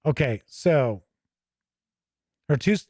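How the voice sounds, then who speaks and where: An American man with a fairly high voice hosts a casual gaming review. He rambles and thinks aloud as he goes. The sound is dry, with very little room to it. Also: lively intonation, slow pace, a little mellow, husky, no echo. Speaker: tabletop gaming show host. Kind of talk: casual off-the-cuff game book review.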